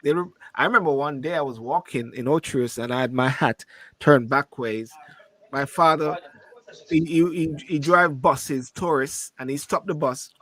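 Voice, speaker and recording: A man's voice: on the high side, normal speed, fairly bright tone, low-quality recording. A man from Jamaica, hosting a panel discussion and asking his guests questions, laughing easily. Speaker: host. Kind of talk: panel discussion on a call-in show.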